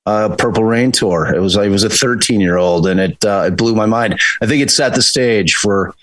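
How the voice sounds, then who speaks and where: A man in his fifties, a defense attorney, answering interview questions in a relaxed, chatty way with frequent restarts. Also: fairly low pitch, normal speed, no echo, poor audio. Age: 50s. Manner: relaxed, chatty, repeats words when starting a thought. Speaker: criminal defense attorney, podcast co-host.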